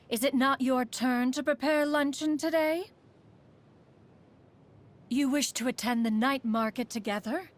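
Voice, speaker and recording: A woman playing a proud, aloof immortal adept who secretly cares, speaking in formal, archaic phrases as a video game character. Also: high voice. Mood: dryly haughty. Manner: haughty, archaic formal speech, refers to self as "one".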